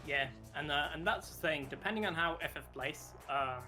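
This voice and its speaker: A man breaks down fighting-game matches in a measured, analytical way. His voice sits a little high. Game music plays clearly behind him. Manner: analytical, measured, many fillers. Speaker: esports co-commentator.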